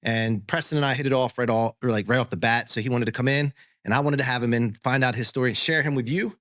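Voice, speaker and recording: An upbeat man hosts a casual podcast chat in a studio, encouraging his guest and rolling one thought into the next. His voice sits at an ordinary middle pitch for a man. The recording is close and dry, with no room sound. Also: quick pace, a bit bright, dry recording. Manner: upbeat, encouraging, talks in long run-on stretches. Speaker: podcast host.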